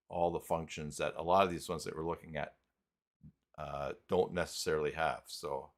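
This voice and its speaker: A man with a low voice hosts an informal live tutorial. He talks casually to his viewers and works things out aloud, in a halting, unscripted way. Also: fairly bright tone. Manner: halting, thinking aloud while clicking around. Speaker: live stream host. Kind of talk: casual live tutorial talk, reading chat.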